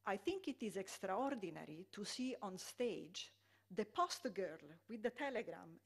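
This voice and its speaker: A middle-aged Italian woman with an Italian accent giving a conference lecture, often pausing on fillers and restarting her sentences. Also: medium pitch. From Italy. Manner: hesitant, many fillers and restarts. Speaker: public health lecturer.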